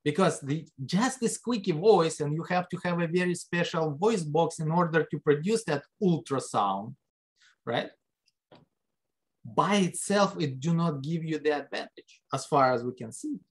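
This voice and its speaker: A male biology lecturer explains his points to the class. His delivery is conversational and full of rhetorical questions. His pitch leaps up on key words for emphasis. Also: a little slow. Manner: lively pitch jumps for emphasis. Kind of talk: recorded classroom lecture over slides.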